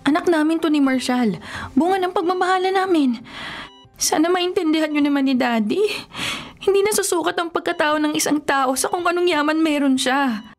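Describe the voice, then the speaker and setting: Woman acting high-voiced, earnest young Filipino daughter. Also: moderate pace, natural voice. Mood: pleading, tearful. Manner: emotional, pleading and tender. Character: young pregnant rich man's daughter, earnest and devoted. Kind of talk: Tagalog radio drama acting. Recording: radio drama with music underneath.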